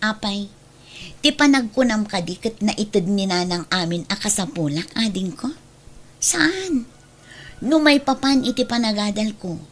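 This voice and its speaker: A woman's voice: medium pitch, expressive, unhurried. A woman playing a caring but reproving elder sister in an audio drama, earnest and admonishing, with an Ilocano accent. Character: caring, reproving elder sister who defends her mother.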